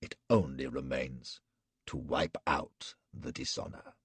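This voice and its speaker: A man doing a cold, cruel warlord in a storybook reading. The voice is very deep and gravelly, husky at the edges, and it unspools its threats slowly and gloatingly. The sound is dry and close. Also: fairly even intonation, a bit bright, no echo, heavily acted. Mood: menacing. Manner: slow, gloating, measured threats. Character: menacing cruel male warlord, cold and mocking. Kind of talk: audiobook character reading.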